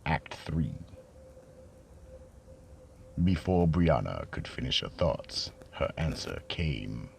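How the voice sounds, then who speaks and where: A man acting as a slow, ominous narrator in a dramatic horror radio play. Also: a bass voice, sing-song, vocal fry, a little hiss or hum, heavily acted. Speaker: narrator and announcer.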